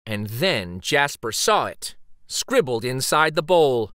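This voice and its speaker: Man, children's story narrator, very lively pitch swings, theatrical pauses, suspenseful emphasis, dry close sound. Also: dry recording. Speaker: picture book read-aloud host.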